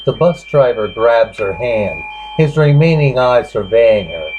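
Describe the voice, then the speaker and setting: A man giving a grave, halting dramatic reading of literary fiction as its narrator. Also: medium pitch, lively intonation. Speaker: narrator reading a short story. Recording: dull lo-fi recording with clear background noise.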